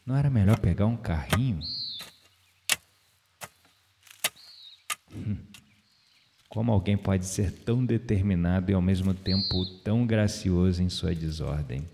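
An actor with a Brazilian accent playing a calm, playful, spiritually minded man in an audio drama. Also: low-pitched, normal speed, vocal fry, background noise. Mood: amused, curious. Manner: gently playful, reflective, philosophical.

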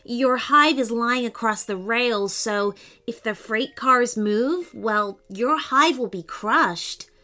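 A woman's voice: on the high side, slow pace, bright, forceful tone. A woman voicing a polite, earnest young boy in a children's story, explaining things carefully. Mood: polite, worried.

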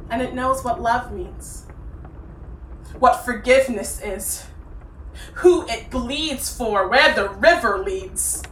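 An actress playing a fierce, righteous, wronged woman, declaiming a poetic stage monologue deliberately and like an incantation. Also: high voice, fairly bright tone. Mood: solemn, grave. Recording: roomy, distant microphone, faint noise.

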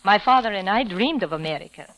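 A woman's voice: mid-range voice, animated, quick pace, fairly bright tone, natural voice. An actress playing a timid, polite young foreign secretary in an old radio drama, hesitant and formal in her speech. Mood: wistful, polite. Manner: hesitant, formal, avoids contractions. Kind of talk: 1940s radio drama acting. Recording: faintly noisy old radio recording.

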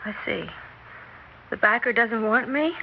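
A woman's voice: on the high side, measured pace, gravelly. A woman playing a naive, eager, stage-struck young actress in an earnest, impressionable way, in a 1960s radio drama. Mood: anxious, hopeful. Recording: noisy, dull 1960s radio recording.

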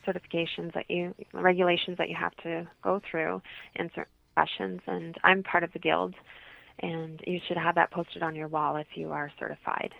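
A woman's voice: on the low side, flat delivery, normal speed, creaky, dry recording. A woman explaining things informally as a guest in a radio interview, heard in dull lo-fi audio.

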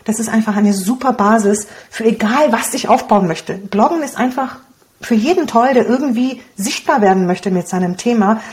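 A woman in her forties from Romania whose first language is Hungarian, a guest blogger and copywriter talking casually in an interview in a lively, cheeky way. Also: fairly high pitch. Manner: lively, cheeky, laughs often.

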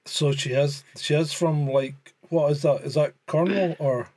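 A man's voice: on the high side, fairly even intonation, unhurried, darkish tone, no room sound. A man co-hosting a podcast, chatting casually, telling crude jokes, swearing and laughing a lot. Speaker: podcast co-host.

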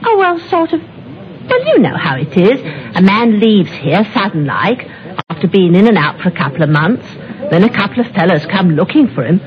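A woman's voice: medium pitch, big pitch swings, moderate pace, natural voice. An actress playing a chatty, nosy working-class woman with a gossipy, colloquial manner in an old radio drama. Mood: curious, eager. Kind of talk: old-time radio character acting. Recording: muffled old radio recording.

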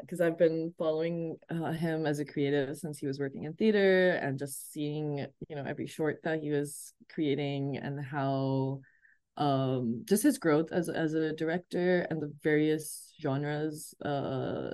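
A film producer from Pakistan speaks in a low, mellow voice, pausing often and restarting her phrases as she answers. The recording is close and dry. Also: dry recording. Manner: halting, frequent "um" and repeated starts. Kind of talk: interview answers.

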